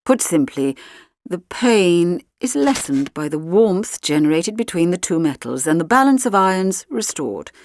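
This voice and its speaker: A woman voicing an intense middle-aged male doctor who owns a clinic, deliberate, earnest and emphatic in an audiobook story. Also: on the low side, very animated, a little mellow, a little hiss or hum. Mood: earnest, proud.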